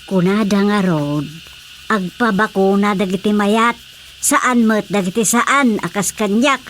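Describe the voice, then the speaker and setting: A woman's voice: mid-range voice, unhurried, fairly bright tone, slight background noise, lightly acted. A woman playing a stubborn, matter-of-fact villager in a radio drama, speaking with an Ilocano Filipino accent. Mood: firm. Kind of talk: radio drama comedy acting.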